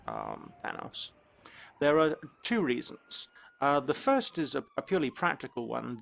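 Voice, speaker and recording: A man giving casual gameplay commentary, with dry, wry asides that turn loud and excited when the action heats up. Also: mid-range voice, gravelly, background noise. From South Africa. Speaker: gaming YouTuber.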